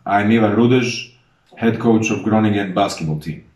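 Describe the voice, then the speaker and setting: Deep-voiced man, echoey room. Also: moderate pace, a little mellow. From Croatia. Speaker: basketball head coach. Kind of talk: introductory address to fans. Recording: roomy echo.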